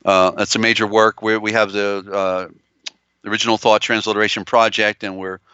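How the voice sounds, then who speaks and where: Male stream host, bright clear tone gone strained and tired, halting and rambling, dry slightly dull audio. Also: lowish voice, little pitch movement, no room sound. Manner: halting, meandering delivery with many restarts. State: strained, tiring voice. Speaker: live show host. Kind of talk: live-stream lecture on old scriptures.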